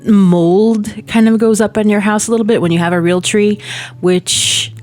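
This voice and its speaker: A woman's voice: mid-range voice, fairly even intonation, moderate pace. A woman with a slightly stuffy nose chatting casually while building in a life-sim game, rambling with many fillers and little laughs at herself. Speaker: Sims building channel host. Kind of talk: casual chatty gameplay commentary. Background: soft background music.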